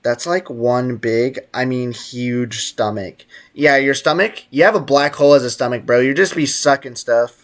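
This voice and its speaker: A man's voice: mid-range voice, moderate pace, few pauses, a bit bright. A man live streaming a game with casual, slangy commentary, joking and teasing as he chats with viewers. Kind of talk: casual gaming stream commentary, reading and answering chat.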